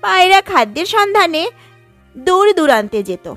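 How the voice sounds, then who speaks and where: A children's storybook narrator with an extremely high voice and lively, swooping pitch. The delivery is scripted storytelling, with faint music underneath. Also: normal speed, no echo.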